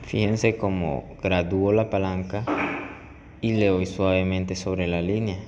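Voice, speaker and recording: A man's voice: sits low, fairly even intonation, unhurried, lo-fi sound. A man giving step-by-step instructional narration as a barber teaching a tutorial. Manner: explanatory, step-by-step. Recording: echoey room with clear background noise.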